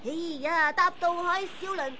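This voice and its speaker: A woman playing a cheeky, excitable bespectacled youngster with a Hong Kong accent, exclaiming and teasing in a radio comedy skit. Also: very high voice, moderate pace, slight background noise. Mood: scoffing.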